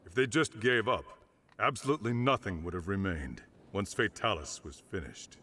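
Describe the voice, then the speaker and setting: A man playing a solemn, knowledgeable veteran in a video game, speaking gravely and deliberately. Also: lowish voice, sharp tone. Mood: grave, firm. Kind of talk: video game cutscene acting.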